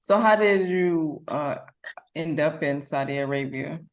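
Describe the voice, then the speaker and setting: A woman co-hosting a podcast, asking questions in a chatty, halting way with frequent restarts. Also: deep voice. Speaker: podcast co-host. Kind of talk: casual interview chat.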